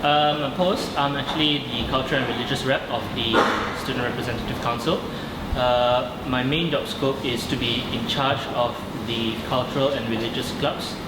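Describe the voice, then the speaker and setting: A man of about twenty from Singapore, giving informal, somewhat halting interview answers as a student representative. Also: mid-range voice, fairly even intonation. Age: around 20. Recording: echoey, distant, very noisy air-conditioned room.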